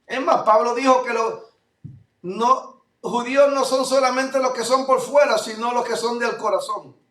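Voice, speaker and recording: High-voiced Puerto Rican pastor preaching. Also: male voice, fairly even intonation, a little slow. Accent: Puerto Rican Spanish. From Puerto Rico. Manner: fervent preaching, swings between loud declarations and low asides. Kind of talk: sermon. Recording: echoey room, voice from far off mic.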